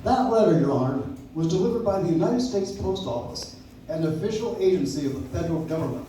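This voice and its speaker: An actor playing an earnest, formal, persistent defense lawyer in a live old-time radio play, recorded from the audience in an echoey room. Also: male voice, high voice, lively intonation, fast, dark tone, far from the mic, slight background noise. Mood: earnest, insistent.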